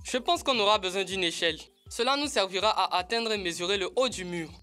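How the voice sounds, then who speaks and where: A woman's voice: medium pitch, fairly quick, sharp tone, faint music behind, lightly acted. A woman voicing a confident, practical young child with an assertive manner in a children's cartoon dub.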